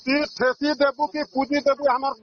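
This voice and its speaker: A man's voice: very high voice, completely flat delivery, fairly quick. A man with a Sambalpuri accent argues on a recorded phone call, protesting in short, repetitive replies. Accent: Sambalpuri (western Odisha). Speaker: caller in a family dispute.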